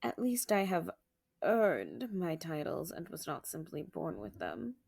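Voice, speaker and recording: A woman playing a proud, bitter vampire warrior in a dramatic audio roleplay, with a raspy voice and formal, argumentative manner. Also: fairly low pitch, very animated, measured pace, a little mellow, exaggerated voice. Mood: indignant, bitter. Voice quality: raspy, gravelly. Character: defiant, bitter female vampire warrior, proud former farm girl.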